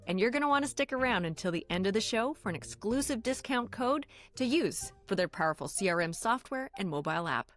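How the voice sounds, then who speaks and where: A woman's voice: medium pitch, a bit bright. A woman hosting a casual podcast interview, talking in a friendly, enthusiastic way. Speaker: podcast host, landscape designer.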